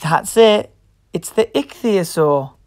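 A woman reading a picture book aloud to young children as host, expressive, playful and encouraging. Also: fairly low pitch, takes it slowly, no room sound, studio quality. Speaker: children's storybook reader and host.

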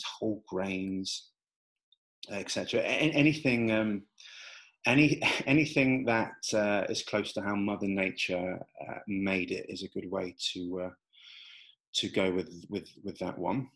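A man with a fairly low voice walks through nutrition slides in an easygoing way. He restarts sentences often and leans on fillers. He records at a desktop mic in a small indoor room. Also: low-quality recording. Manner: relaxed, many fillers and restarts. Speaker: nutrition coach. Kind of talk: talking over slides, casual explainer.

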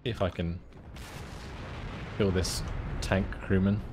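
A man's voice: low-pitched, steady tone, slow pace, darkish tone. A man in his twenties giving casual, slangy commentary and chatting with a friend while he plays a game. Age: 20s. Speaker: gamer recording gameplay with a friend. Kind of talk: casual gaming chat and live commentary. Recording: clear gunfire and vehicle game noise behind. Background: war video game sounds.

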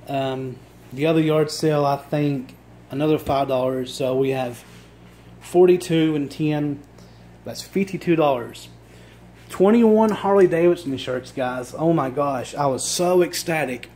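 A man with a Virginia accent, losing his voice and clearing his throat, chatting casually to a phone camera indoors as he shows off thrift finds. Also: a little high, darkish tone, slight background noise. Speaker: thrift reseller vlogger. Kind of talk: casual show-and-tell vlog. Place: indoor room with items on a table. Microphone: phone camera held while filming.

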